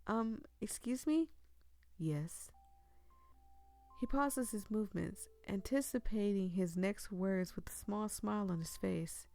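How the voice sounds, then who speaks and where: A hoarse woman reading a story game aloud in a chatty, giggly way, with comments between the lines. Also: medium pitch, slow, dark tone. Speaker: game streamer.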